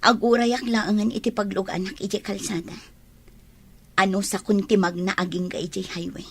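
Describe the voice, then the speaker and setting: A woman's voice: mid-range voice, flat delivery, moderate pace, slight background noise, natural voice. A woman with a Filipino accent acting a distraught, pleading young pregnant woman full of regret in a radio drama. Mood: hurt, resolute. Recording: music under the voice.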